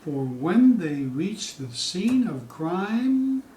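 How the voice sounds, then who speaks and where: A man giving a theatrical poetry recital, dramatic and suspenseful, with drawn-out phrases moving between hushed dips and loud peaks. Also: sits high, sing-song. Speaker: poetry reader. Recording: distant, echoey room with faint hiss.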